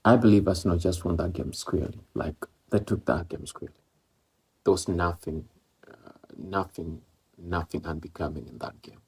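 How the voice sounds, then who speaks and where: Low gravelly guy from east africa, husky, rambles on about football with lots of uh's. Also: normal speed, darkish tone. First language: Swahili or Maloko. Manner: rambling, many fillers and repeats. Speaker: football vlogger. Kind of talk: casual football match commentary.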